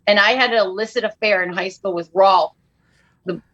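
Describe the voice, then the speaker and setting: A woman's voice: medium pitch, fairly quick, a bit bright, lo-fi sound. A middle-aged woman comedian chatting as a podcast guest, telling self-mocking, jokey stories with lots of energy. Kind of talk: casual podcast chat.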